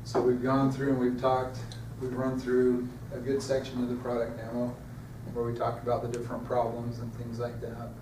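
Man, middle-aged, sales trainer, level monotone, distant mic, noisy echoey training room. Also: medium pitch, moderate pace, hardly any pauses. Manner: plain, explanatory, fielding questions. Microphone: far camera.

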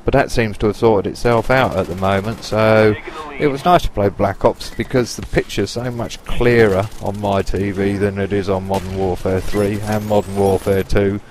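A man's voice: lowish voice, steady tone, moderate pace, few pauses, a little hiss or hum. A man in his late forties with a Lancashire accent, chatting casually and rambling cheekily over his own video game footage. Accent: Lancashire English. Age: 40s. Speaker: gaming YouTuber. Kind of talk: casual gameplay commentary.

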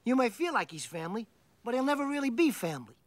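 Film mouse father, smooth-talking, ingratiating, swooping intonation, overly polite. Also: extremely high pitch, sing-song, quick pace, no echo. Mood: solemn, smug. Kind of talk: family film voice acting.

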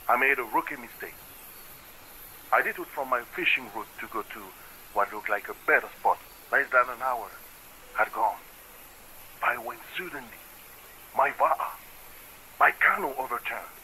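Haunted fisherman on sharp, hissy tape. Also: mid-range voice. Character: haunted island fisherman recounting a past shipwreck, determined. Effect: old tape recording filter. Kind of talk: scripted recorded testimony. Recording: noisy, thin reel-to-reel tape playback.

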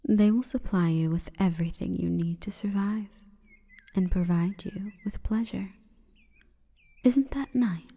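A woman voicing an imperious, calm forest goddess in a breathy, whispering ASMR roleplay, with stately, measured delivery. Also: mid-range voice. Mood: softly menacing, coaxing. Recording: long reverberant echo.

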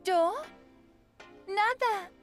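A woman's voice: very high voice, very slow, soft background music, heavily acted. A woman voicing a dramatic, angry teenage schoolgirl in a cartoon. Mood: exasperated. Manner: dramatic, expressive. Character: teenage schoolgirl Clara, dramatic and angry over a rival boy.

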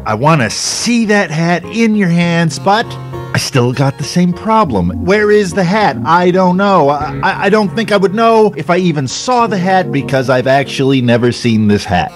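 A man's voice: high voice, animated, moderate pace, faint music behind. A man playing a harried, defensive police inspector in an audio drama, talking casually and wordily. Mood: exasperated, evasive. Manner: casual, wordy, exasperated.

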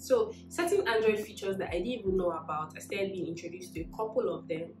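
A woman's voice: lowish voice, roomy sound, rough recording. A young woman from Nigeria telling her story to camera in a casual, upbeat, encouraging way, sitting in a living room. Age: young adult. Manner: upbeat, encouraging, gestures while talking. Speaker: tech vlogger.

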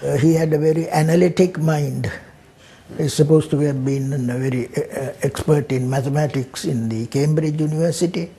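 An elderly man, speaking haltingly in an indoor documentary interview, repeating words. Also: fairly high pitch, fairly even intonation, dark tone, slightly rough. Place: indoors in a living room.

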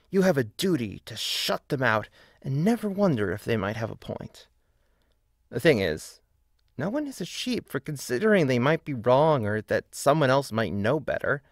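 A man's voice: mid-range voice, creaky, dry recording. A man narrates a video essay in a mid-range voice. He is emphatic and opinionated, with wry, casual asides breaking up the script. The sound is dry and close.

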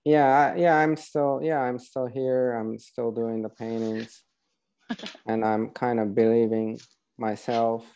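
A man, a painter being interviewed on a video call, recalling his life casually and haltingly. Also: mid-range voice, flat delivery, dark tone, clean tone. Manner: halting, searching for words. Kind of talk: casual personal recollection. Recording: slightly dull video call audio.

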